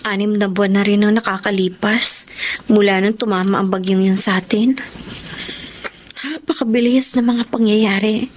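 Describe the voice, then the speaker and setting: A woman's voice: medium pitch, steady tone, moderate pace, slight background noise, natural voice. An actress playing a resolute, caring young working woman, speaking earnestly and reassuringly in radio drama style. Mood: reflective. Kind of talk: emotional radio drama acting.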